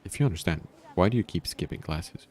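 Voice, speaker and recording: Man playing stuffy, low-voiced old principal. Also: expressive, brisk, darkish tone. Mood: stern. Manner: formal, lecturing. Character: stern, stuffy old male school principal. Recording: background music.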